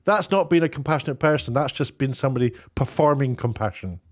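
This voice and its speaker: A man giving informal opinion commentary, thinking aloud in a rambling, halting way as he goes. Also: medium pitch, expressive. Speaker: online commentator; former BBC worker. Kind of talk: off-the-cuff opinion commentary with read-out quotes.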